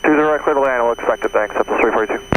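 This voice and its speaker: A man's voice: medium pitch, racing, noisy background. A man, an airline pilot, giving brief readbacks over an aviation radio. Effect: aviation radio transmission.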